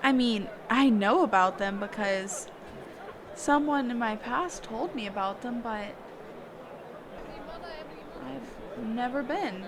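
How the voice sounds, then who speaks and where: A reclusive female mage teacher, acted by a woman for a fantasy roleplay audio, speaks in a fairly high voice at a very unhurried pace. She is socially awkward and evasive, hesitating with hums and trailing pauses. Some noise sits behind the voice. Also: crowd noise. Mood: awkward, curious. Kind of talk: character roleplay audio, one side of a dialogue.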